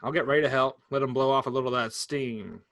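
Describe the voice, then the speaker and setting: An actor reading the part of a patient, easygoing rural son in a staged reading. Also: male voice, mid-range voice, steady tone, fast talker, fairly bright tone, natural voice. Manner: easygoing, hesitant, soothing.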